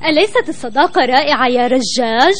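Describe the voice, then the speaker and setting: A woman's voice: high voice, lively intonation, quick pace, slight background noise. A woman voicing a friendly female kangaroo who cooks, in a children's cartoon.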